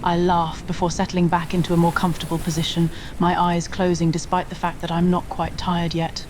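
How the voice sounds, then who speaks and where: A woman's voice: fairly low pitch, monotone, brisk, darkish tone, poor audio. A woman narrating a scripted romantic story in the first person. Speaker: audio roleplay narrator. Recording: faint rain noise bed. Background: rain ambience.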